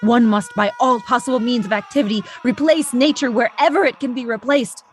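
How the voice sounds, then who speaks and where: A woman's voice: on the high side, steady tone, a little fast, faint music behind, close to an everyday voice. A woman performing a grave, sardonic narrator in a theatrical recitation for an avant-garde radio play. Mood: sardonic declaiming. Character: grave, sardonic narrator denouncing American war-making.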